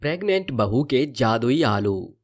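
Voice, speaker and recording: Male cartoon narrator, low-pitched with a very animated lilt. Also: measured pace. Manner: lively, questioning storytelling.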